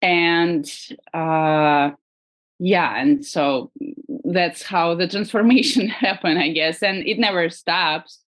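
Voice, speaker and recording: A woman with a Russian accent, speaking as an artist guest in a casual interview, hesitant with frequent pauses and fillers. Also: lowish voice. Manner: hesitant, many ums and uhs, laughs lightly.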